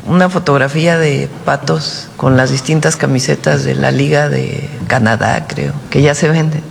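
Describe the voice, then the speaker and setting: A man speaking at a press conference in a measured, folksy manner. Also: fairly high pitch, steady tone, vocal fry, a little hiss or hum. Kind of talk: public address.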